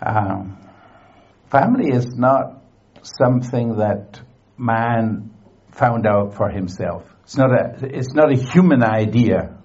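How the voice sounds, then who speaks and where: A man's voice: medium pitch, animated, darkish tone, muffled recording. A man preaching in English in short phrases for an interpreter, with hesitant fillers and restarts. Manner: halting, many fillers and restarts. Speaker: guest preacher.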